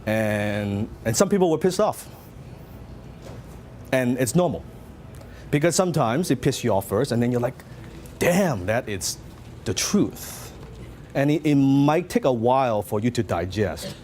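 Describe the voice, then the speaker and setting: A man's voice: medium pitch, big pitch swings, measured pace, pauses often, darkish tone, slight background noise. A middle-aged man from Hong Kong with a Hong Kong Cantonese accent, giving a motivational seminar talk and telling his story with lots of questions to the audience. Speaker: business speaker.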